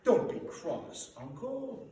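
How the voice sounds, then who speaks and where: A man's voice: extremely high pitch, sing-song, slow, mellow, voice pushed up, heavily acted. A man reading a storybook as a cheerful, earnest young nephew, speaking in a hearty and sincere way. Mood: coaxing. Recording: echoey room, distant mic, faint noise.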